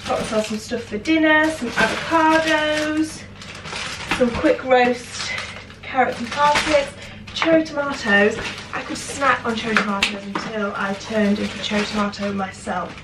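A woman's voice: fairly high pitch, steady tone, moderate pace. A British woman in her twenties, bunged up with a cold, chatting casually and upbeat as she unpacks shopping and a parcel at home. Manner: chatty, upbeat, thinking aloud. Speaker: fashion vlogger. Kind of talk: casual vlog chat. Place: home kitchen, indoors. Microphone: camera mic from a distance. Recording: echoey kitchen, far from mic, loud noise.

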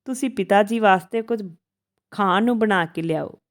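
A woman's voice: medium pitch, moderate pace, lightly acted. Woman voicing a kind, remorseful man. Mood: polite, calm. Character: kind, remorseful middle-aged man, respectful to elders.

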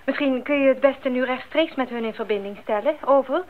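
A woman's voice: high-pitched, fairly quick, a little hiss or hum. An actress playing a caring, capable woman who runs a mission post radio in a radio drama. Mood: relieved. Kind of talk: 1980s Dutch radio drama acting. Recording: narrow tinny radio sound.

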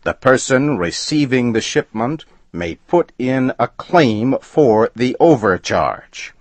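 Man playing a stubborn male freight agent, folksy dialect, raised firm voice, loud, dry sound. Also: mid-range voice, lively intonation, slow, no echo, voice pushed up. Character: stubborn rule-bound male express agent.

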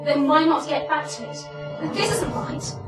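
A woman's voice: high-pitched, moderate pace, over loud music, lightly acted. A young woman playing an insistent, fatalistic character who explains emphatically in a stage play. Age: young adult. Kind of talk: theatrical stage acting. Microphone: distant camera microphone. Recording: roomy stage, distant mic, background sound.

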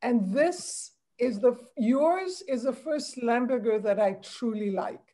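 A woman from France with a French accent, speaking English as a second language, chatting candidly and warmly about a wine on a video call. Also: fairly high pitch, lively intonation, takes it slowly. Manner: enthusiastic, candid. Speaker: guest on a virtual tasting. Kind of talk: casual video-call chat. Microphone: video call webcam.

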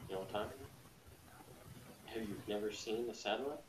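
A man's voice: fairly low pitch, normal speed. A man in a casual chat, blunt and matter-of-fact as he explains. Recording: roomy, faint noise.

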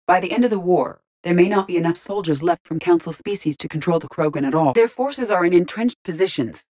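A woman voicing a calm, logical, curious female artificial intelligence in a video game, with precise, measured, formal delivery. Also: lowish voice, close to an everyday voice. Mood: composed, analytical. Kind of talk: video game character voice lines.

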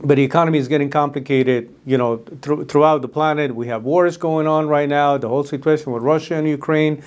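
A man's voice: a little high, steady tone, a little fast. Earnest middle-aged man with Spanish accent. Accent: Spanish-speaking. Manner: earnest, many fillers and restarts, gestures with hands. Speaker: host. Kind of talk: casual talk to camera about a book. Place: red-walled studio room with desk.

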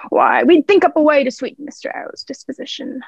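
A woman playing a cunning, commanding male pirate in an amateur radio play, speaking salty dialect in a gravelly voice. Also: sits high, fast talker, no room sound. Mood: scheming, coaxing. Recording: dull, lo-fi home recording.

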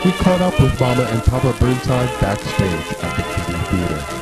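A man's voice: medium pitch, sing-song, moderate pace, loud music behind the voice, poor audio. A man reading scripted mock-documentary narration in a formal, grandiose storytelling manner.

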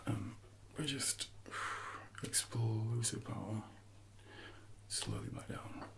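Hushed, gravelly man doing ASMR coaching. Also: fairly low pitch, takes it slowly, very noisy. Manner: hushed, often dropping into whispers, instructive. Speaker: ASMR performer playing a personal trainer. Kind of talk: soft-spoken ASMR roleplay.

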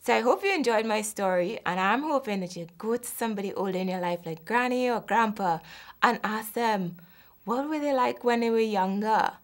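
A woman's voice: a little high, lively intonation, normal speed. A woman, a children's author speaking informally to young listeners. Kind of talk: friendly address to children. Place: indoor studio set, seated.

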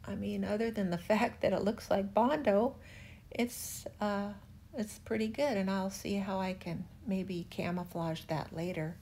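An older woman narrating her furniture restoration in a relaxed, chatty way, gently enthusiastic about the wood. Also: mid-range voice, unhurried, a little hiss or hum. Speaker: furniture refinisher.

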